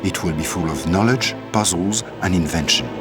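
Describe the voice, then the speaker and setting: Actor as low-voiced adventurer's ally, cautious. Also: male voice, close to an everyday voice. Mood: cautionary, urgent. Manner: measured, formal, cautionary. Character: worldly older male ally of an adventuress, anxious and cautious. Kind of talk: video game cutscene acting. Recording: game music behind the voice.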